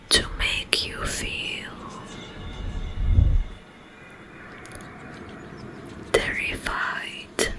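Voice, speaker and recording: A woman voicing a soothing yet menacing sleep paralysis demon in an ASMR roleplay, in a breathy whisper with halting, drawn-out phrases. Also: pushed and bright, exaggerated voice. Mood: smug, menacing.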